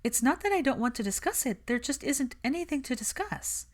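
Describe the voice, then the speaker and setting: Narrator as a guarded, defensive young woman, higher-pitched. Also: animated, fairly quick. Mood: guarded, insistent. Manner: careful, argumentative, wordy. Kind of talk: audiobook character dialogue.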